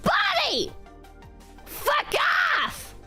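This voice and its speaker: A woman streamer with a nasal, stuffy-nosed voice and a sore throat from a cold, chatting excitably and yelling and swearing in bursts. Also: very high voice, drawn-out words, soft background music. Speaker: streamer reading out online reviews. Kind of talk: casual livestream chat.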